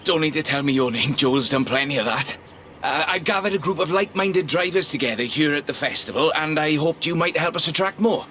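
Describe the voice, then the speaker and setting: An actor playing a genteel, car-mad man who leads a drift club in a video game, speaking in a polite, chatty, understated and gently teasing way. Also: on the high side, fairly quick, a little hiss or hum. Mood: friendly, eager. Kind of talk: scripted video game character dialogue. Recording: game audio under loud music. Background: music and car engines.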